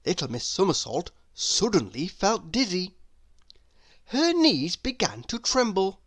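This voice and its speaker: A woman reading a children's story aloud expressively, addressing young listeners with dramatic pauses. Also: medium pitch, sing-song, slightly rough.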